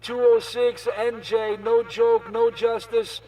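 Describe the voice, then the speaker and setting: High-pitched man bantering on CB radio. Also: level tone, takes it slowly, warm tone, distant mic. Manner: repetitive, showy, boastful. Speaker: CB radio operator. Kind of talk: CB radio banter in repeated chanted catchphrases. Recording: CB radio chatter, off the mic.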